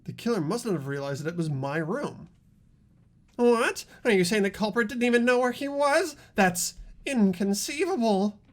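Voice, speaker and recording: A performer playing an earnest, argumentative high school student with animated questioning in a fan-voiced game. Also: moderate pace, natural voice. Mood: incredulous. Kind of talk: fan voice acting of a video game script.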